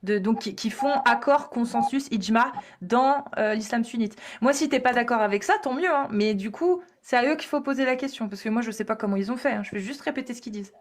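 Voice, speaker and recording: A woman's voice: a little high, fairly quick, hardly any pauses, slight background noise. A woman hosting a live online debate, insistent and repetitive, with many fillers.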